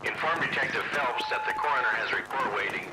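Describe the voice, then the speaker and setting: A man's voice: medium pitch, fast talker. A man playing a formal, efficient police dispatcher over a radio in a game. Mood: businesslike. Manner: procedural, formal. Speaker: video game voice actor.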